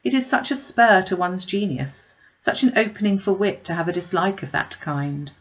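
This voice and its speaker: A woman's voice: lowish voice, lively intonation, moderate pace, dull audio. A woman voicing a witty, self-possessed young lady in a classic novel reading, wry, articulate and emphatic. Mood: self-mocking.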